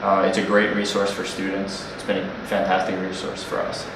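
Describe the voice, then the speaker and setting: Deep flat-voiced young man, echoey. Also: moderate pace. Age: young adult. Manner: earnest, reflective, speaks in long building sentences. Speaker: nonprofit co-founder. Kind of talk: interview answers. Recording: echoey room, distant mic, noisy.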